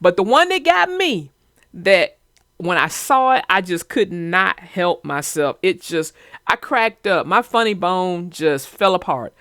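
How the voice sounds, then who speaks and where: A woman's voice: fairly low pitch, very animated, measured pace, a bit bright, no room sound, clean studio sound. A woman hosting a children's read-aloud show, chatting about a story with young viewers.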